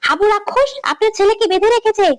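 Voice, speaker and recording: A woman voicing an urgent, excitable monkey henchman in a children's cartoon. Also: extremely high pitch, fast. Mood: urgent, alarmed. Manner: urgent, eager. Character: excitable tattling monkey henchman of an ogre boss. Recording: music behind the voice.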